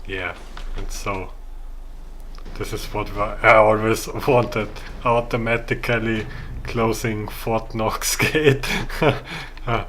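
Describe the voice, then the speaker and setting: Slow-talking Austrian man, low and flat. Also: slight background noise. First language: German. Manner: halting, searching for words. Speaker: gamer showing his own game build. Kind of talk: casual game walkthrough commentary.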